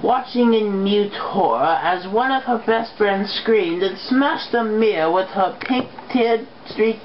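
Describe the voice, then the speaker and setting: A woman's voice: medium pitch, expressive, slow pace. A woman reading fan fiction aloud as an amateur narrator. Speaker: reader. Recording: muffled, noisy home recording.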